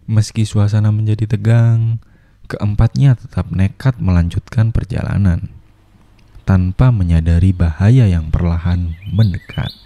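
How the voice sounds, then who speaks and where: A man as a deep, grave horror narrator. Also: steady tone, unhurried, warm tone, no room sound. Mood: ominous.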